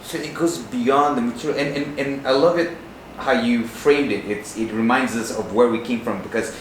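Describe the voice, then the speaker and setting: A man with a mid-pitched voice hosts a podcast, telling stories and asking questions with animated enthusiasm. He grew up in Hawaii. The room sounds roomy, with a faint hiss underneath. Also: moderate pace. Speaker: podcast host. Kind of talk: casual interview conversation.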